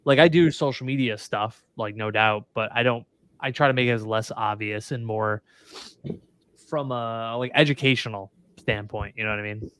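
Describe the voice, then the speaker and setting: A man in his thirties hosting a casual podcast interview, relaxed and quick to laugh, with plenty of filler words. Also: on the low side, smooth. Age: 30s. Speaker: podcast host.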